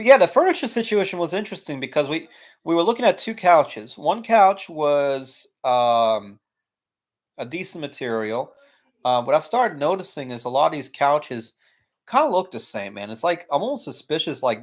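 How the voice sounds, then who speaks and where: Laid-back rambling young male streamer. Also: fairly high pitch. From United States. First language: English. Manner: rambling, filler-heavy, laid-back, says "man" often. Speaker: live streamer. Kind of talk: casual live-stream chat reading viewer questions.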